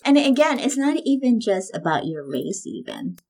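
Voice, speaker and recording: A woman from the Philippines co-hosts a relaxed podcast chat. Her pitch moves up and down a great deal, and she talks animatedly, often dropping into quoted voices. Her speech is casual and full of fillers. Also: normal speed, a little hiss or hum. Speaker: podcast co-host.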